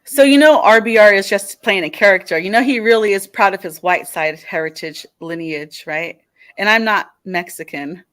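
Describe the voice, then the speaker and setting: A woman's voice: medium pitch, normal speed, fairly bright tone, no room sound. Chatty Californian woman, dry sound. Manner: chatty, hedging with "like" and "you know". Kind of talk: casual debate.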